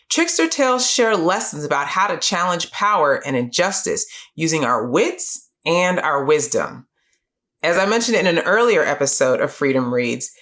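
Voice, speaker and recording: A middle-aged woman presents scripted educational material as a series host. Her voice sits on the low side and moves with lively, varied intonation. She explains each point in a clear, measured manner. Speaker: host of a children's book review series.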